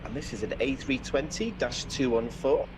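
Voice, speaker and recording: Welsh guy with a bit of northern english, chatty plane spotting livestream, mid pitched voice, vehicle noise behind him. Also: normal speed. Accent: Welsh with northern English influence. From Wales. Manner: chatty, friendly greetings to viewers. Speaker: live stream commentator and host. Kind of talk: casual live aviation commentary.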